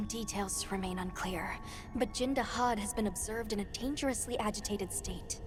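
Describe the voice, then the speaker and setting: A woman's voice: mid-range voice, moderate pace, music in the background, natural voice. A woman playing an earnest, studious young field assistant in a video game, precise and formal. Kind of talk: video game character acting.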